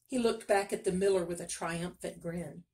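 A woman's voice: on the low side, normal speed, gravelly, darkish tone. A woman reading a children's story aloud as narrator, in scripted narration.

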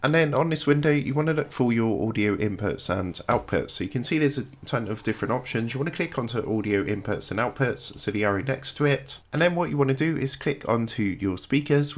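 A man hosting a how-to tutorial, narrating instructions step by step. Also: mid-range voice. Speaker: tutorial host.

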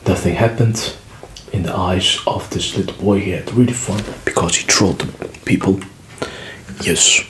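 A man whispering a story softly for an ASMR video, with gum-chewing clicks behind him. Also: sits low. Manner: soft whispering, slow and deliberate. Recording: echoey room with background noise.

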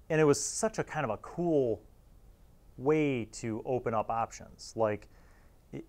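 A man, hosting a casual review in an informal, halting way and sometimes reading aloud. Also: medium pitch. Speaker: host reviewing old gaming magazines.

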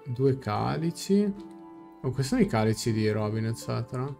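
A man's voice: mid-range voice, lively intonation, moderate pace, gravelly, darkish tone. A young adult man with an Italian accent, casually commenting on and translating a game as a live streamer. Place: small room at home. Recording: game music faintly behind.

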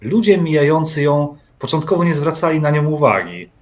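A man narrating a dark literary radio play in a measured storytelling manner. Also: fairly high pitch, sing-song. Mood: measured, atmospheric.

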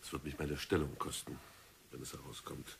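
A man's voice: very deep voice, flat delivery, fairly quick, vocal fry, a little hiss or hum, exaggerated voice. An actor playing a stern, worried male bank director in an old radio play. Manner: stern, grudging, fretful. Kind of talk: 1960s radio drama acting.

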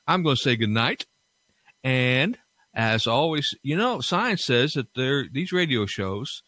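Low-voiced man hosting a podcast. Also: a bit bright, dry recording. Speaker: podcast host of old-time radio shows. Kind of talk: casual, sincere podcast sign-off.

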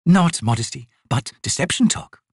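Grand, flirtatious fantasy sorceress as a male narrator plays her, imperious and lilting, high, very animated delivery. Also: moderate pace, creaky, breathy edge, no room sound, clean studio sound. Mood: probing. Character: haughty, teasing noble sorceress, female, flirtatious and grand.

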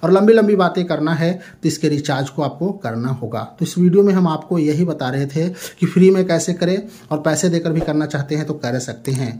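A man's voice: a little high, fairly quick, hardly any pauses, mellow. A man hosting a tech tutorial, explaining an app step by step in a repetitive, instructional way.

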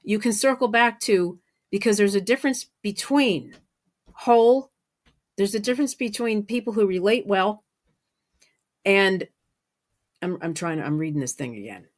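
Middle-aged female podcast host, medium pitch, off-the-cuff monologue, emphatic, repetitive for stress. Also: normal speed, long pauses. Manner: emphatic, repeats phrases for stress. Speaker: podcast host and spiritual healing guide. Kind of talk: unscripted solo podcast monologue.

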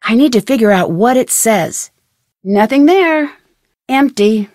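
A woman playing a curious, determined young detective in a video game, thinking aloud in crisp speech with an American accent. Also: a little high, expressive, measured pace, a little mellow, no echo, studio quality. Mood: curious, focused.

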